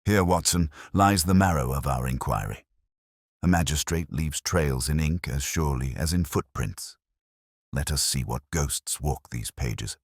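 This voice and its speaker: A man voicing a coolly logical, commanding Victorian gentleman detective in an audiobook, deliberate and formal. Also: rumbling low, slightly rough, no echo, studio quality, full character voice. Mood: shrewd, deliberate. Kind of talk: audiobook character dialogue.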